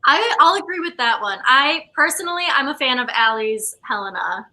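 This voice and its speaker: A woman's voice: sits high, expressive, moderate pace, pushed and bright, low-quality recording. A young adult woman explaining things informally as an interviewee in a casual conversation. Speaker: interviewee, artistic director and actor.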